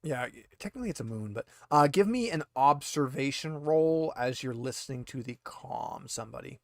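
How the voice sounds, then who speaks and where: A man's voice: medium pitch, lively intonation, moderate pace, creaky, no room sound, studio quality. A man acting as game master, narrating a tabletop roleplaying game in a conversational, hesitant way.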